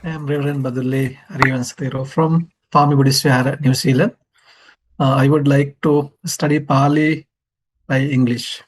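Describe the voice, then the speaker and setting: Husky-voiced monk, brief and formal. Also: male voice, medium pitch, flat delivery, normal speed, poor audio. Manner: brief, formal self-introduction. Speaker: Buddhist monk student. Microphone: video call.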